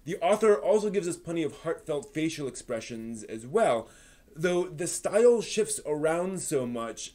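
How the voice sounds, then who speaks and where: A man hosting a live stream, giving a manga review in an articulate, expressive way. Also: fairly high pitch. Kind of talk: manga review on a live stream, part prepared, part casual chat.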